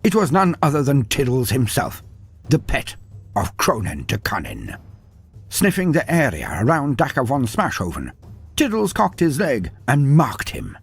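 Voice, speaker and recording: A male storyteller with a husky voice and a lively, swooping delivery. He narrates a scripted tale theatrically and with mock grandeur, over music. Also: medium pitch, unhurried.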